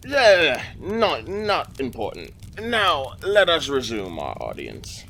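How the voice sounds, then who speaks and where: An actor playing a wry otherworldly keeper who observes mortals, narrating an audio drama in a sly, musing, theatrical way. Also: male voice, medium pitch, wildly swooping pitch, slow pace, vocal fry, heavy background noise, heavily acted.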